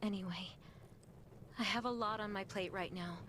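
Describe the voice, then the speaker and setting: A woman's voice: mid-range voice, steady tone, moderate pace, background noise, natural voice. An actress voicing a busy, caring female medic at a survivor outpost, earnest and practical, in a video game. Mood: weary concern. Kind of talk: video game quest dialogue.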